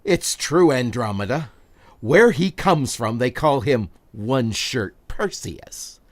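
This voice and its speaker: An actor playing a treacherous, mocking king in an audiobook, loud and derisive. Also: mid-range voice, expressive, slow. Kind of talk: theatrical audiobook acting.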